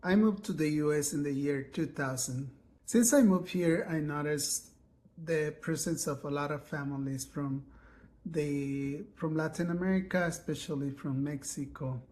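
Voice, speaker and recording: A man's voice: fairly high pitch, a little mellow. Ecuador-born man, thoughtful interview voice. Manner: measured, with pauses and restarts. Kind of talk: documentary interview.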